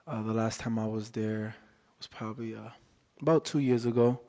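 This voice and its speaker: Flat-voiced man, halting and relaxed. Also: fairly low pitch. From Worcester, Massachusetts area. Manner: relaxed, halting, many fillers. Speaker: boxer, interview guest. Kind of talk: casual interview chat. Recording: dull lo-fi audio in a reverberant room.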